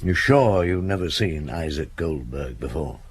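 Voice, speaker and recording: An actor in a radio drama playing a shrewd police chief inspector, courteous and probing, with polite hesitations. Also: male voice, extremely low pitch, animated, a little slow, husky, full character voice.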